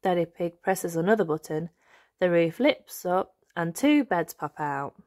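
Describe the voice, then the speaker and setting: A woman narrating a read-aloud story for young children, expressive and carefully enunciated. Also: lowish voice, unhurried, no echo, studio quality. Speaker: host and storybook reader.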